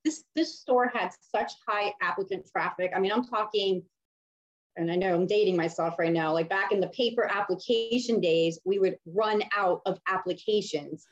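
A woman's voice: medium pitch, normal speed. A woman from Miami, an HR leader, telling her career story in a chatty interview with many fillers. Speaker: HR leader, guest. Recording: slightly dull lo-fi audio.

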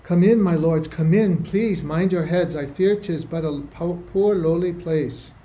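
A man's voice: fairly high pitch, unhurried, dark tone, muffled recording, natural voice. A man playing a bustling, kindly working-class mother in a radio play, with a folksy, exclamatory manner. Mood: fond, deferential. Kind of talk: amateur radio play acting. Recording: noisy amateur radio play recording.

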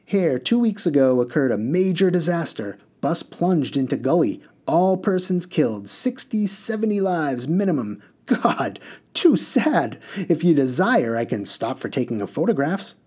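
A boastful bus driver yells over his shoulder in a high, gleeful sing-song, as a man reading aloud puts him on. He rambles in riddles and cheerfully points out danger. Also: big pitch swings, measured pace, husky, heavily acted. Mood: cheerfully grim.

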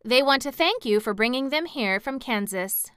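A woman's voice: high-pitched, animated, moderate pace, a bit bright, smooth, dry recording, studio quality, voice pushed up. A woman doing an eager young girl.

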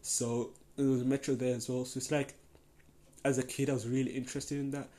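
A man's voice: mid-range voice, flat delivery, fairly quick, mellow. A young man who grew up in Denmark, hosting a casual solo podcast Q&A and talking in a rambling, enthusiastic way full of fillers. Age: young adult. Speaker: podcast host.

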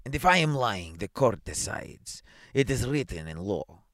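A man's voice: lowish voice, very animated, moderate pace, fairly bright tone, no echo, studio quality. Half-drunk tradesman acted by a man, aggrieved, deferential yet indignant, storybook reading. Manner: pleading, indignant, deferential to authority. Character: aggrieved half-drunk goldsmith, whining and self-righteous. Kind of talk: dramatised short story reading.

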